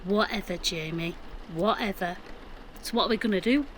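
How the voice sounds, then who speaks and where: A woman's voice: mid-range voice, moderate pace, slight background noise, natural voice. A middle-aged woman playing a defensive, practical mum in an audio drama, with a Yorkshire accent and matter-of-fact delivery. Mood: exasperated. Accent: Yorkshire English. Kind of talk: naturalistic audio drama acting.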